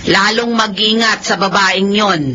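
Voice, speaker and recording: A woman's voice: medium pitch, fairly even intonation, unhurried, sharp tone, a little hiss or hum. A woman with a Filipino accent playing a cautious, protective middle-aged mother, insistent and cautioning, in a radio drama. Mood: worried. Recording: muffled radio drama audio with music.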